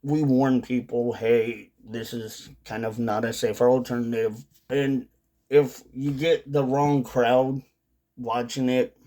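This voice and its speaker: A man's voice: mid-range voice, little pitch movement, slow pace, warm tone. A man with a mid-range voice speaks off the cuff in a casual vlog style. He rambles through his thoughts, often restating and rewording what he has just said. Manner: rambling, repeats and rephrases points. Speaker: video creator on a dipping tobacco channel. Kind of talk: casual unscripted vlog monologue.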